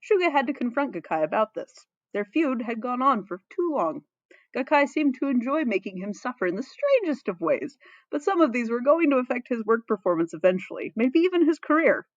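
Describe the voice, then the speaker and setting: A woman's voice: fairly high pitch, big pitch swings, brisk, no echo, highs cut off. A woman narrating fan fiction expressively, playfully dramatic, with the odd aside.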